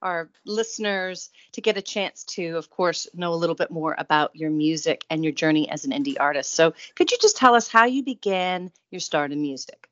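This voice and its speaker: A woman's voice: deep voice, animated, normal speed, hardly any pauses, fairly bright tone, no room sound, highs cut off. A woman hosting a music show in a casual interview style, with a gushing, admiring manner.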